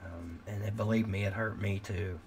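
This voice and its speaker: Gravelly middle-aged man losing his voice. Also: lowish voice, steady tone, moderate pace, background noise. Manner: rambling, candid, frequent restarts and swearing. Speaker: livestream host. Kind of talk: late-night casual livestream chat.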